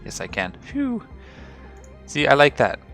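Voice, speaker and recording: A man hosting a casual game video, talking through his ship setup with a slightly rough, hoarse voice. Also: mid-range voice, measured pace, faint music behind. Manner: relaxed, rambling, explains ship fittings. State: slightly rough voice after a night of singing. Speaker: gaming channel host. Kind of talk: casual gameplay commentary.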